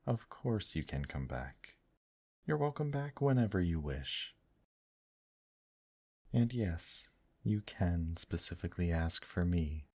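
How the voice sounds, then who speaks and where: A man voicing a gentle, teasing male demon in an intimate ASMR roleplay, speaking softly and courteously to the listener. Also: deep voice, big pitch swings, no echo, clean studio sound, heavily acted. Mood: apologetic, warm. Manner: slow, soft, courteous, affectionate teasing with endearments. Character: gentle, teasing male demon brothel worker, tender and attentive.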